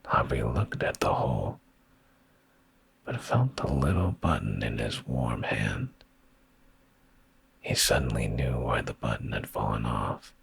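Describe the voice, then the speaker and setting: A man with a very deep, gravelly, breathy voice reads bedtime stories in a soothing, intimate, hushed ASMR style. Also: expressive, a little slow, long pauses, a little hiss or hum. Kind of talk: slow whispery ASMR bedtime storytelling.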